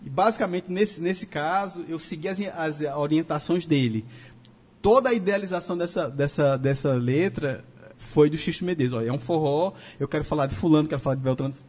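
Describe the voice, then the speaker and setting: A man's voice: a little high, a little mellow. A man, a guest lyricist, answering interview questions casually. He stumbles over words and repeats himself, then speeds up. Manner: halting, repeats words, then rushes ahead.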